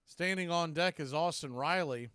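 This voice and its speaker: A man calling a baseball game live in radio play-by-play style, in short clipped phrases between pitches. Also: moderate pace, a bit bright, clean tone, dry recording, clean studio sound. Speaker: play-by-play baseball announcer and stream host.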